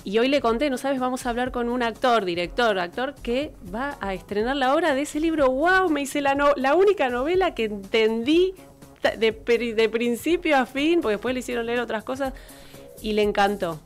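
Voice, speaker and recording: A woman's voice: fairly high pitch, animated, moderate pace. A middle-aged woman with a Buenos Aires Argentine accent chatting casually as a radio co-host. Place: small radio studio. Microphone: close studio microphone. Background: music bed.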